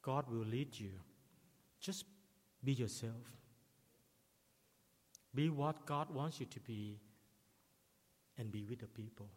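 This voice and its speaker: A middle-aged man whose first language is Thai, preaching a sermon in English in a slow, measured way. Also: medium pitch, a little mellow. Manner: slow, measured delivery with non-native English phrasing. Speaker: pastor. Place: church. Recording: long reverb of a church hall.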